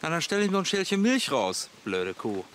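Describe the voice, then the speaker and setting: Bossy, sarcastic camper for radio comedy; gruff manner, very lively intonation. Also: male voice, a little high, moderate pace. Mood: indignant. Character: bossy, grumbling male camper playing thief hunter. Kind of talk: German comedy radio play acting.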